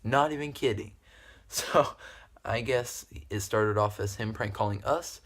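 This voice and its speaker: A man's voice: on the low side, a little slow. A young man telling a story casually to camera in a rambling, giggly, repetitive way. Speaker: video creator telling stories.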